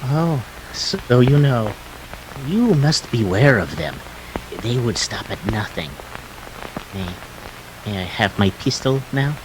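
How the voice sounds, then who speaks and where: A man's voice: mid-range voice, sing-song, background noise. A man acting a fussy, formally polite and slippery Greek crook in a 1940s detective radio play. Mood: wary. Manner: formal, precise, halting politeness.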